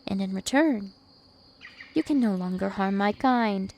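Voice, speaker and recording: Casting: woman as an immortal vampire princess for ASMR roleplay, teasing and possessive, creaky voice over noticeable insect-like night ambience. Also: medium pitch, a little mellow, slight background noise, close to an everyday voice. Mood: possessive, commanding. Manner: slow, deliberate, teasing and possessive.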